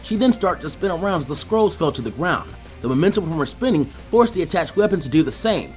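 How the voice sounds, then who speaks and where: Animated high-voiced man narrating a story. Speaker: fan fiction reader.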